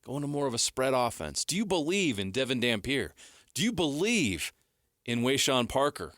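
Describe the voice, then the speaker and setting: A man's voice: medium pitch, very animated, a bit bright, no room sound, clean studio sound. A man hosting a sports radio show, asking pointed questions and rattling off stats. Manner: leading questions, rapid stat rundowns.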